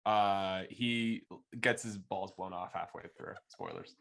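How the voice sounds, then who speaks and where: A man's voice: deep voice, slow, gravelly, fairly bright tone. A man, an actor, chatting and joking casually in loose banter. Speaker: actor on the show.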